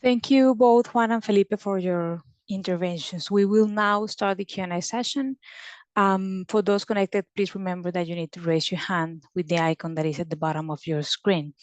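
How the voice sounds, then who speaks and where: A woman's voice: fairly low pitch, fairly even intonation, moderate pace, dry recording. Woman moderating a call, dry close sound.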